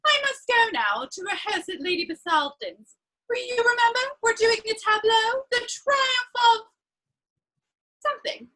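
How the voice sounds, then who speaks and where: Flighty, playful ingénue for stage comedy; very high, bright, swooping delivery. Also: female voice, expressive, measured pace, exaggerated voice, low-quality recording. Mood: flighty, self-pleased. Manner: theatrical, breezy. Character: vain, flighty, playful young society girl. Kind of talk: stage comedy dramatic reading.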